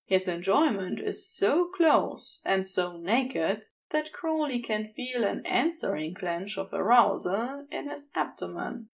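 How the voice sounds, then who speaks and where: A woman reading a piece of fiction in a measured, deliberate, intimate storytelling style. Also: mid-range voice, animated, gravelly. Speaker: amateur audiobook narrator.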